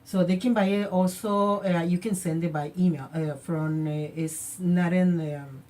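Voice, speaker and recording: Woman, radio host, hoarse, very low, mellow voice, halting. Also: fairly even intonation. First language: Spanish. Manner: halting, slips in her wording. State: hoarse from illness. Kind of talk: radio interview.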